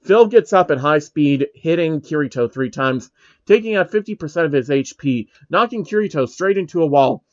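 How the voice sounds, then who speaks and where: A man's voice: on the high side, animated, moderate pace, no echo, dull audio. A man narrating fan fiction in an informal, comic way, stumbling over the text.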